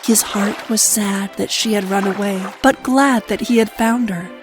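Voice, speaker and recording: A woman's voice: medium pitch, a little slow, soft background music. A woman giving a scripted narration of a children's fairy tale.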